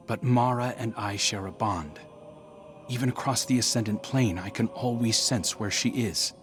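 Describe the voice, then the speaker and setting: A man playing an earnest, resolute warrior prince in a video game, with a measured, pleading delivery. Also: fairly low pitch, music in the background, lightly acted. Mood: earnest, determined. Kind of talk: scripted game dialogue.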